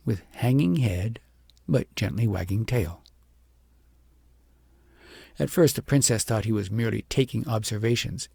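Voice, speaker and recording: A man narrates a storybook with a heavily gravelled, husky voice. His delivery is measured and expressive, as an audiobook reader's is. The sound is dry, with very little room to it. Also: lowish voice, no echo.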